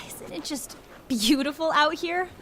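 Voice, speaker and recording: An actress playing a breezy, earnest, oblivious young theater crew member in an audio drama. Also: female voice, high voice, slow pace, pushed and bright, slight background noise, heavily acted. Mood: sunny, carefree.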